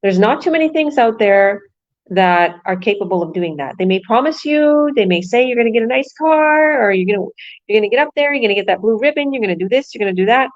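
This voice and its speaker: A woman hosting an online business talk, pitching with enthusiastic, repetitive phrasing. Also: animated, fast talker, no echo, dull audio. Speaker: host. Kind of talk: motivational online show host.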